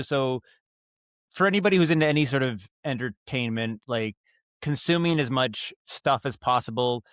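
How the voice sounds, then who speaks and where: A man with a middle-range voice talks as a guest in a casual interview. He explains things thoughtfully and haltingly, often restarting to correct himself. The sound is dry, with very little room on it. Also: mid-range voice, fairly bright tone, no echo. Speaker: guest, audio drama writer and director. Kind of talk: casual podcast interview talk.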